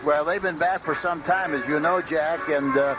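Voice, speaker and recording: Guy commentating pregame on radio, highish voice, loud music behind him. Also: steady tone, moderate pace, rough recording. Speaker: sports commentator.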